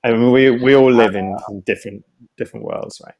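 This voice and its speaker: A man in his thirties speaks as an interview guest over a video call. His voice sits at a middle pitch. He is thoughtful and hesitant, often circling back to restart a phrase as he looks for the right word. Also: fairly quick, lo-fi sound. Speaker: guest, writer and theatre artist. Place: home room with bookshelves, indoors. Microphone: video call, wired earphones.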